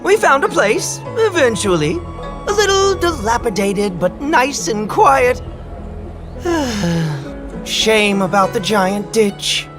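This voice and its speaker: Game role: chatty comic street performer, theatrical and wry, very animated delivery, music underneath. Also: male voice, high voice, takes it slowly, full character voice. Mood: wry storytelling. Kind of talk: animated video game voice acting.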